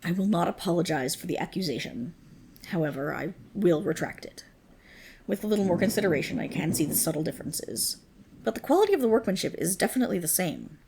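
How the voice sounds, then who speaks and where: A woman voicing a proud, possessive dragon queen in a dramatic ASMR roleplay, with regal, commanding, formal diction and a breathy, husky, creaky voice. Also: on the low side, lively intonation, quick pace, darkish tone, a little hiss or hum. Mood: scrutinizing.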